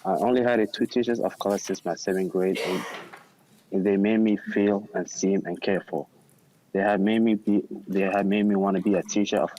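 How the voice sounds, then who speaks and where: Deep, flat-voiced teenage boy testifying. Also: poor audio. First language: Arabic. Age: teens. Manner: earnest, careful reading with small restarts. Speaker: student testifier. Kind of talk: reading prepared testimony. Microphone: remote call.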